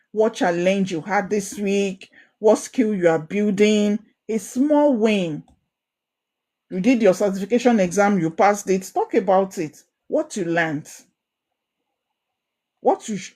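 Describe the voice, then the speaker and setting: A young woman with a Nigerian Ijesha accent, coaching a women's group in an online course, animated and encouraging, often repeating phrases for emphasis. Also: medium pitch. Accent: Nigerian, Ijesha Yoruba.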